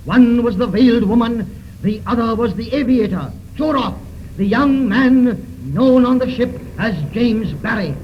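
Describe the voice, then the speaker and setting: Tense old-time radio detective telling his case, deliberate and dramatic, highish voice, muffled hissy recording. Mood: urgent, excited. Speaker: narrator and lead actor of a radio mystery serial. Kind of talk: 1930s radio drama first-person narration.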